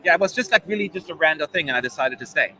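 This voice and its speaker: A man's voice: a little high, animated, rapid-fire, bright, forceful tone, slight background noise, poor audio. A man in his forties, German-born and living in America, with a slight German accent under mostly American speech, chatting casually as a live-stream host with many fillers. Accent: German, mostly American-sounding.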